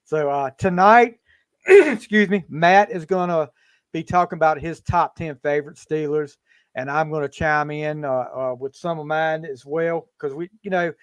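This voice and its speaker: Fifty yr old guy hosting a podcast over a video call, kinda high voice, rambles and restarts a lot, dry sound. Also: normal speed, clean tone, no room sound. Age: 50s. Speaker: podcast host. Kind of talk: casual chat.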